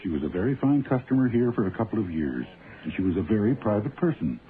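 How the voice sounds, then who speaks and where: A man's voice: on the low side, sing-song, quick pace. An actor playing a dapper, guarded fashion-house salesman, stiffly polite and clipped, in a radio drama. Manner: clipped, reserved, formal. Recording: tinny band-limited old radio.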